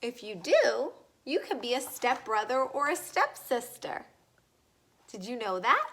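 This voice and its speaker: High, bright-voiced woman reading to children. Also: big pitch swings. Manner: deliberate, addressing young listeners with questions. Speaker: read-aloud reader. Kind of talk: reading a children's picture book aloud.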